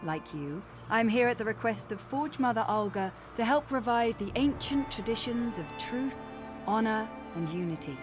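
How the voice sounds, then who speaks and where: An actress playing a gracious, earnest orc high priestess in a video game, speaking in a formal, stately, welcoming manner. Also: female voice, animated, moderate pace, music in the background, lightly acted. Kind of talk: video game character acting.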